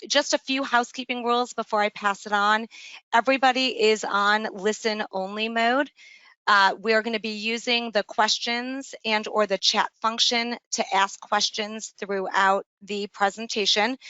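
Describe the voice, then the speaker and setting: A woman's voice: medium pitch, bright, forceful tone, clean tone, dry recording. A woman hosting an online business webinar and giving a practical introduction, on slightly dull meeting audio. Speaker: webinar host.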